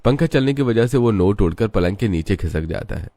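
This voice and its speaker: A man's voice: fairly low pitch, expressive, a little fast, dark tone, no echo, clean studio sound. A man narrating a scripted moral story.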